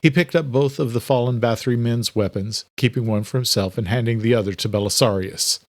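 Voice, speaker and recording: A man narrates a horror thriller in a dramatic, scripted style. His pitch is average for a male voice, and the recording is close and very dry. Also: brisk, dry recording.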